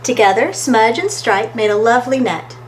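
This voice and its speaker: A woman reading a children's story aloud as an expressive storytime narrator, recorded with faint background noise. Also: a little high, moderate pace. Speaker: storytime reader.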